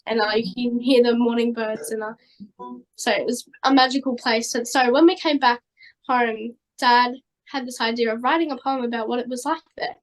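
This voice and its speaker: Jeune femme australienne, voix aiguë, bavarde, rapide, un peu enrouée, pour interview détendue. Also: female voice, fairly high pitch, steady tone, rough recording. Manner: chatty, many fillers, quick bursts. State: slightly hoarse voice. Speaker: singer-songwriter guest. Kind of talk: casual interview chat.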